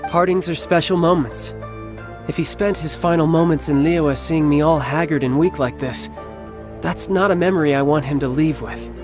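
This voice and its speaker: A suave young warrior acted by a man for a video game dub. He speaks in a slightly high male voice with polished, teasing ease, like a doting older brother. Music is clearly audible behind him. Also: normal speed, lightly acted. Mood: wistful. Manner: polished, smooth-talking, teasing. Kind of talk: English game dub acting. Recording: background music under the voice.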